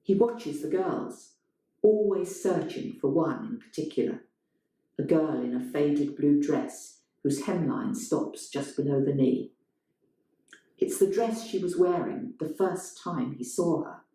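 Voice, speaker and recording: A strongly echoing room holds the voice of a woman narrating a short story. She reads in a measured, dramatic style, slowly and with a mellow, soft-edged tone. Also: deep voice, animated. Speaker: story reader. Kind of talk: scripted literary narration, performed reading. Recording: very echoey room.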